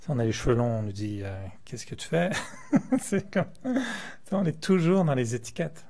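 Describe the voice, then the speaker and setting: Gravelly-voiced man giving a playful meditation talk. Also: medium pitch, very animated, moderate pace, mellow. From France. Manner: playful, joking, chuckles often. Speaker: meditation teacher. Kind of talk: spiritual talk to a class.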